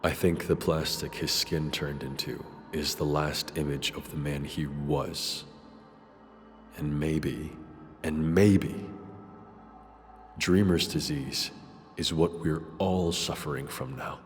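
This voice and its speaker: An actor playing a brooding, philosophical detective in a scripted future noir drama, speaking in measured, formal phrases. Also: male voice, rumbling low, steady tone. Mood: somber, reflective. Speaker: detective character and narrator. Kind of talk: scripted noir drama dialogue and reflective monologue. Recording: long reverb, music behind.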